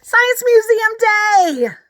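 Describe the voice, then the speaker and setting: A woman's voice: very high-pitched, extremely slow, dry recording, voice pushed up, heavily acted. A woman voicing an eager, bossy ten-year-old girl who talks insistently in a children's story. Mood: excited, urgent. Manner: excitable, insistent.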